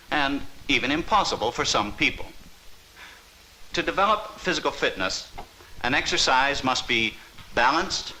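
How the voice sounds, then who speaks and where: Bright-voiced man narrating a vintage training film. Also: mid-range voice, a little hiss or hum, poor audio. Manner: measured, instructive, emphatic on key words. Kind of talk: scripted documentary narration. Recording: 1950s training film soundtrack.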